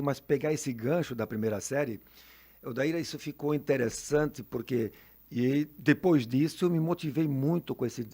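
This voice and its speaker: Older teacher reminiscing. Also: male voice, medium pitch, a little mellow, husky. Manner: anecdotal, with frequent tag questions and chuckles. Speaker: veteran teacher and guest. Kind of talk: relaxed storytelling in a radio interview. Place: radio studio.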